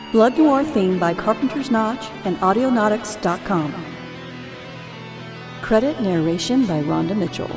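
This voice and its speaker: A woman announces the cast and credits of an audio drama in a scripted read. Her voice is mid-pitched, with guitar music playing clearly behind it. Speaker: credits narrator.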